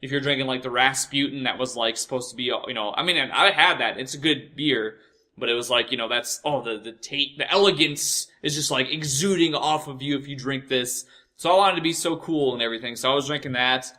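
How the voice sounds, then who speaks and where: A man's voice: mid-range voice, bright, forceful tone. A young American man telling personal stories in a casual, rambling way, swearing freely and often doubling back to correct himself. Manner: profane, repetitive, halting and self-correcting. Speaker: host of a personal storytelling show.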